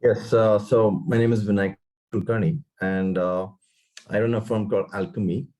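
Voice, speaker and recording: A man introducing himself and his firm on an online panel over a video call, measured and with some fillers. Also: on the low side, fairly even intonation, warm tone. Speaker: company founder, panelist.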